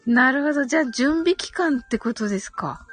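A woman's voice: sits high, animated, fairly quick, slightly rough. A woman hosting a casual live audio chat, reading listener comments aloud and responding with polite, agreeable backchannels. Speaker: live audio stream host. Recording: background music behind voice. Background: music.

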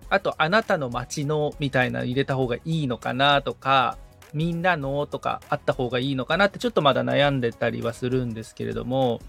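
Gravelly-voiced hesitant man. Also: mid-range voice, a bit bright. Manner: modest, hesitant, thinks aloud. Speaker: guitar repairman, podcast assistant. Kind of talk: casual podcast conversation.

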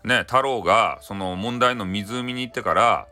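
A man's voice: lowish voice, unhurried, pushed and bright. A man with a Hakata accent, chattily retelling a folktale in an informal, humorous way. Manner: chatty, many tag particles. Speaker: storyteller. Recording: music bed behind voice. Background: music.

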